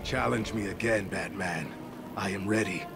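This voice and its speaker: An actor playing a solemn, zealous warrior in a video game, speaking formally and with intensity. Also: male voice, deep voice, moderate pace, gravelly, music in the background. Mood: solemn, confident. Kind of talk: video game cutscene acting.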